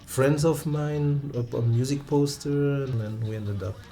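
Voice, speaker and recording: A man in his thirties with a Romanian accent, a non-native English speaker, telling stories from his own life in an interview, halting and restarting often and laughing along. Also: medium pitch, fairly even intonation, warm tone. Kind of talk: relaxed personal storytelling.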